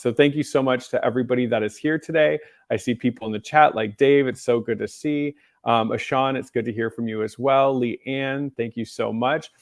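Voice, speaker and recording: An American man with a mid-pitched voice talks earnestly, as if hosting an advice stream. He explains in a conversational way, piling up emphatic lists. The sound is dry, with very little room to it. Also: no echo. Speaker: mental health advocate hosting a livestream Q&A. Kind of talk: conversational explanation mixing personal testimony and advice.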